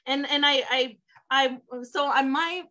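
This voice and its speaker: A woman's voice: high voice, fairly even intonation, measured pace, bright, forceful tone, lo-fi sound. A woman hosting an open mic over a video call, talking in a chatty, rambling way. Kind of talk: casual hosting.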